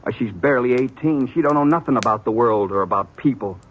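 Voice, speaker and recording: An actor playing a stern, protective brother on the frontier, speaking in earnest, insistent rustic speech in a 1950s radio western. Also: male voice, mid-range voice, quick pace, highs cut off, slight background noise, close to an everyday voice. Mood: worried, grim.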